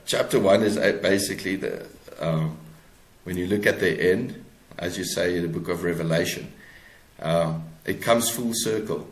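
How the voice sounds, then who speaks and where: Deep-voiced South African man, roomy sound. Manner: halting, repeats words as he searches for phrasing. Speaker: guest author. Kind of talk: reflective interview talk.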